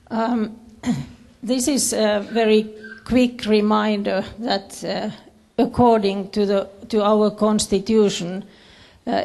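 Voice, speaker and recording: A woman with a Finnish accent giving a presentation in English as a second language, haltingly searching for her words. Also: mid-range voice, gravelly, darkish tone. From Finland. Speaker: conference speaker, education official. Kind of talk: presentation to an audience with slides.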